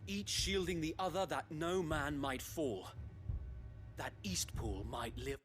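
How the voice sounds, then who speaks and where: An actor playing an earnest, resolute knight commander, speaking formally and precisely in a dramatic fantasy game. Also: male voice, on the high side, takes it slowly, a bit bright, soft background music. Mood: stirring, urgent. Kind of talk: dramatic video game acting.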